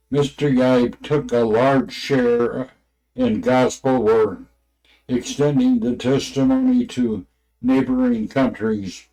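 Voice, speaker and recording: A man reading an old religious text aloud in a halting way, stumbling over words and names. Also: medium pitch, gravelly, mellow, poor audio.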